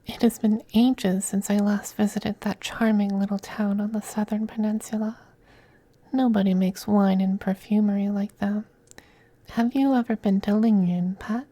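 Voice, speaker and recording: A man playing a possessive, teasing femboy vampire lover in an ASMR roleplay, speaking in soft, languid, condescending half-whispers. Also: sits high, fairly even intonation, moderate pace, no room sound.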